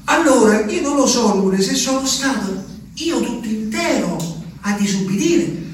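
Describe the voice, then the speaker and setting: A man's voice: extremely high pitch, lively intonation, a little hiss or hum. Haunted peasant man, echoing monologue. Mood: bewildered. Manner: halting, emphatic, repetitive storytelling with dramatic swells. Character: simple, haunted Abruzzese peasant man recalling his abandoned wife. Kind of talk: theatrical monologue for radio drama. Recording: very echoey, distant stage sound with music.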